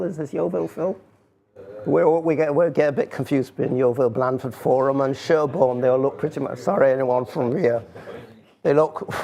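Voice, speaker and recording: A man speaks informally to present his work. His mid-pitched voice is creaky, and his delivery is hesitant and conversational, with wry asides. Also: darkish tone. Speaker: speaker, writer of sound art. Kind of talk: informal live talk.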